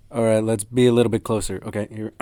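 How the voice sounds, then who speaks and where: A man doing amateur voice acting, chatting casually and excitably between takes, poking fun at himself. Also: lowish voice, quick pace. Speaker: amateur voice actor.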